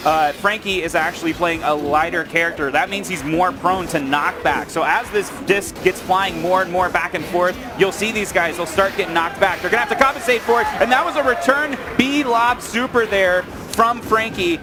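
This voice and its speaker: A high-voiced man calls a video game tournament live over music. He is excitable, hyping the players as he runs through play-by-play. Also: normal speed, few pauses. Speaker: esports tournament commentator.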